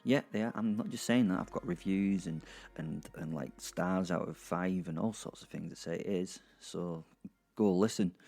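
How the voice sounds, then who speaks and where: A man's voice: low-pitched, moderate pace, creaky, warm tone. A man hosting a podcast in a casual, rambling way, chatty and dryly jokey, often interrupting himself. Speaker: podcast host and narrator. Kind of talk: casual rambling recap to listeners. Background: music under the voice.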